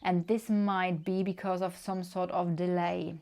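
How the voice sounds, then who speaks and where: German-accented woman, low and level. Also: level tone. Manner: gentle, unhurried, many fillers. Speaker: tarot reader. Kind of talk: casual tarot reading.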